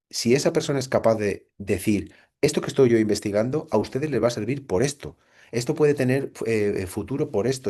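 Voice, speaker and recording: A man's voice: mid-range voice, mellow. A middle-aged man from Spain with a Spanish accent, giving a reflective recorded lecture to a computer, full of rhetorical questions and restarts. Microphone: computer mic.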